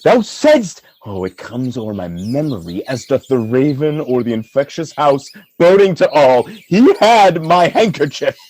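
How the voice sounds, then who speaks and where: A man's voice: medium pitch, sing-song, a little slow, hardly any pauses, a little mellow. A man acting a proud, tormented, jealous general in a Shakespearean radio play, brooding and impassioned in his verse. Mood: troubled.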